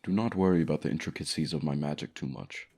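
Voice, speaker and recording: A man's voice: a bass voice, fast, gravelly, dark tone, breathy edge, exaggerated voice. A man voicing a haughty, commanding dragon in a fantasy ASMR roleplay, speaking formally with pompous condescension. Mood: stern, commanding. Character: haughty male dragon, commanding keeper of a human pet.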